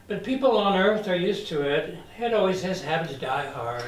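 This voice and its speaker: A woman playing a put-upon, deadpan housemate in hell at a dramedy table read. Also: on the low side, normal speed, lightly acted. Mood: flat, matter-of-fact. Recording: roomy echo, distant microphone, background noise.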